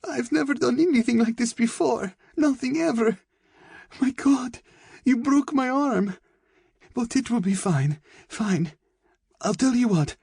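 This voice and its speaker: A man's voice: sits low, sing-song, normal speed, few pauses, slightly rough, no room sound, clean studio sound, voice pushed up, exaggerated voice. A man voicing a young girl thief in an audiobook, urgent and swinging from tough to pleading. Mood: terrified pleading. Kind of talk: audiobook character voice.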